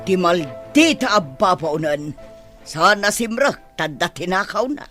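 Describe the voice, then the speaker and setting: An actress playing a haughty, accusing mistress of a wealthy household in a radio drama. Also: female voice, lowish voice, big pitch swings, unhurried, a bit bright, soft background music. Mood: indignant, scornful.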